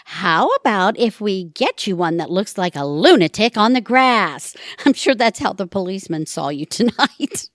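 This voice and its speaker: A woman acts out a long-suffering but fond middle-aged wife in a comic radio play. She speaks with wry teasing in a medium-pitched, very expressive voice. The recording is dry and free of room sound. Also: moderate pace, few pauses, no room sound, close to an everyday voice. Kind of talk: audio theatre comedy acting.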